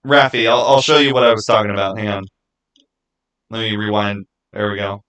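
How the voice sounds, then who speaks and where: A man's voice: fairly low pitch, little pitch movement, fairly quick, a bit bright. A man hosting a casual livestream, rambling and thinking aloud as he answers viewers by name. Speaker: livestream host.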